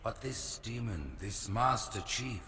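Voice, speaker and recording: A grave, imperious old alien priest, pronouncing judgment in slow, formal words. His low voice rings through a long, echoing hall. A steady hiss runs underneath. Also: heavily acted. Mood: stern judgment. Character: aged alien high priest, imperious and scheming. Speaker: religious leader passing judgment. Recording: echoey hall with background hiss.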